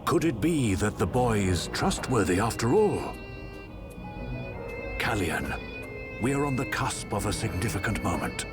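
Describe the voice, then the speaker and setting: An actor playing a solemn, commanding older emperor in an animated game story, grave and deliberate. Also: male voice, fairly low pitch, music in the background.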